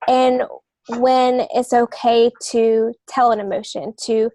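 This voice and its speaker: A woman with a slightly high voice talks on a podcast. The sound is very dry, with no room around it, and she explains points earnestly in a conversational way. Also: fairly even intonation, slow pace, mellow, no room sound. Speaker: podcast co-host, author. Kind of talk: casual explanatory podcast talk.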